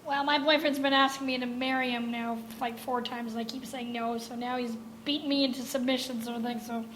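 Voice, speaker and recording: A woman's voice: fairly high pitch, level tone, fairly quick, a bit bright, slight background noise, low-quality recording. A female comedian answering a question on a live stage in a breezy, sassy way. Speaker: comedian guest.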